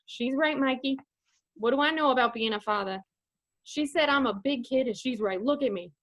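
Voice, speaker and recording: A woman playing a soft-hearted, blunt, overgrown kid of a man in a comedic radio play. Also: high voice, normal speed, muffled recording, lightly acted. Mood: wistful.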